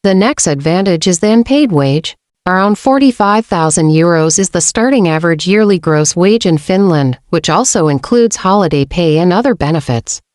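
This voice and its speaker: Female host, lowish voice, steady scripted read, dry close mic. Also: moderate pace, dry recording. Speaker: channel host. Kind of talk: scripted informational narration.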